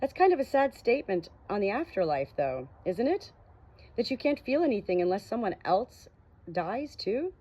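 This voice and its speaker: A woman's voice: mid-range voice, very animated, normal speed, warm tone, breathy edge, pitched above the performer's own voice. A woman voicing a curious, earnest, awkward teenage boy with informal questions in an audiobook. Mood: musing. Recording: dull, muffled lo-fi audio.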